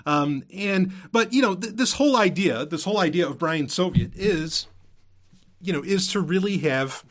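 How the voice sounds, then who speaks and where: A man's voice: sits high, lively intonation, normal speed, a bit bright. A man hosting a podcast in a casual, unscripted monologue, rambling and emphatic, often repeating himself and swearing casually. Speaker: podcast host.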